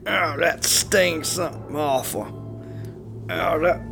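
An actor playing a bitten, weakening trucker who talks in a pained, drawling way. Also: male voice, on the high side, takes it slowly, vocal fry, over music, exaggerated voice.

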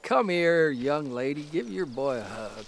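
A man's voice: on the high side, very animated, measured pace, creaky, slight background noise, exaggerated voice. A man playing a good-natured grown son who teases his mother. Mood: easygoing. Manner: teasing and easygoing.

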